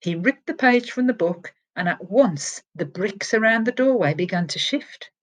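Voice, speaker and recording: A woman, a teacher, reading a chapter of a children's novel aloud with expressive storytelling that drops to a hush at tense moments. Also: medium pitch, moderate pace.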